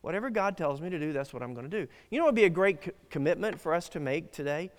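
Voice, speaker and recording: A male pastor preaches in an earnest, emphatic way, his voice sitting high and swinging widely up and down. He often stops and restarts a phrase. The sound carries a long reverb, as in a big church sanctuary. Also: expressive, fast talker. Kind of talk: sermon.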